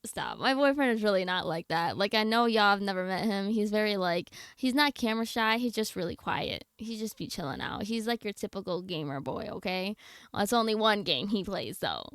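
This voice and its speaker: Smooth-voiced young woman streamer, dry sound. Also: mid-range voice, lively intonation, fairly quick, fairly bright tone, no room sound. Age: young adult. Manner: slangy, blunt, candid. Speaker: game livestreamer. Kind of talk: casual livestream chat with viewers.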